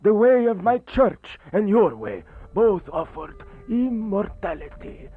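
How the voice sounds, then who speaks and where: Man playing a slow, solemn fallen-priest vampire. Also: sits high, sing-song, husky, dry recording, exaggerated voice. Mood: resigned, rueful. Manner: measured, solemn, theatrical. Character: resigned fallen priest turned vampire, grave and accepting. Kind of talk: radio horror play acting. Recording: thin phone-like band-limited audio with music.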